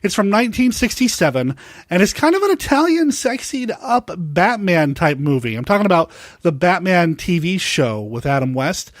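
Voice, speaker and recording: Higher-voiced American podcast host, man, enthusiastic. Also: sing-song, moderate pace, no room sound, studio quality. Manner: enthusiastic, complimentary. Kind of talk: casual podcast talk on classic monster movies.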